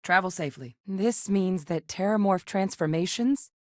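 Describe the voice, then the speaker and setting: An actress playing an earnest, guarded military scientist in a space video game, speaking in a measured, articulate, serious way. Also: female voice, medium pitch, no echo, studio quality, natural voice. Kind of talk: video game character voice lines.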